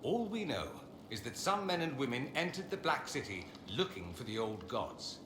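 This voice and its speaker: A man's voice: mid-range voice, sing-song, moderate pace, roomy sound, noisy background, natural voice. A man playing a sardonic, upper-class fantasy mage in a video game, speaking in a theatrical, condescending way. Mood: grave, expository. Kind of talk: video game dialogue acting.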